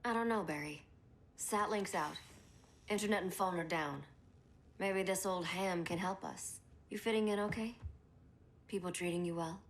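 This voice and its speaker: An actress playing a practical, firm but encouraging doctor and mentor in a video game. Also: female voice, on the low side, moderate pace, pauses often, natural voice. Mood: steady, reassuring.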